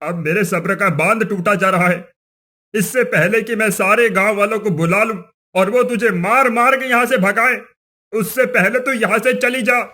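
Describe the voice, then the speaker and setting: Guy doing mean bossy village headman for a cartoon, super high sharp voice, scolding and contemptuous. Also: normal speed. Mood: impatient, threatening. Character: cruel, superstitious village headman, scornful and bullying. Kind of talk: Hindi cartoon moral story dialogue.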